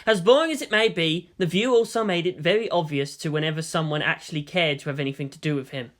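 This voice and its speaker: A narrator reading a story aloud in an expressive, scripted storytelling style. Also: medium pitch, quick pace. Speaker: audiobook-style reader of fan fiction.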